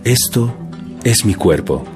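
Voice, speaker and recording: A man voicing a calm, solemn adult male teacher in an audio Bible drama, speaking slowly and gravely. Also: mid-range voice, over music. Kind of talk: dramatized scripture reading.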